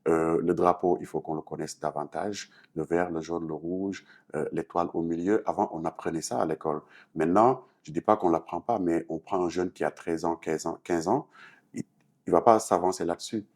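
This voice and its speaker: A man's voice: deep voice, fairly even intonation, moderate pace, a little mellow. A Senegalese man answering interview questions in French-speaking West African style, explaining things earnestly with a Senegalese accent. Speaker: talk show guest, artist and activist.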